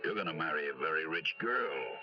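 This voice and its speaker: An actor playing a smug, menacing, slangy blackmailer in an old radio drama. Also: male voice, a bass voice, lively intonation, a little fast, over music. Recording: dull, noisy old radio recording.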